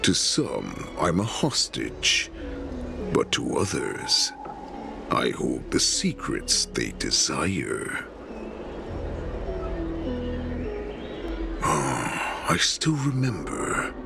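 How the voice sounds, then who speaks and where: An actor playing an ancient, sly, captive wolfish warlord in a game, speaking with slow theatrical menace and breathy drops toward a whisper. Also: male voice, a bass voice, huge pitch swings, music in the background, heavily acted. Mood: bitter, brooding. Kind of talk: dramatic game cutscene monologue.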